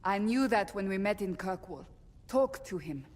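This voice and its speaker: A woman's voice: medium pitch, lively intonation, normal speed, a little mellow, natural voice. An actress playing a blunt, principled female warrior of a holy order in a game, firm and formal in manner. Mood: grave, persuasive. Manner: firm, formal, matter-of-fact. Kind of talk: dramatic game voice acting. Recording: long reverb.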